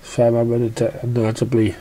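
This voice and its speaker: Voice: medium pitch, completely flat delivery, fairly quick, mellow, slight background noise. A narrator reading a story aloud, occasionally stumbling over the written words. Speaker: fan fiction narrator.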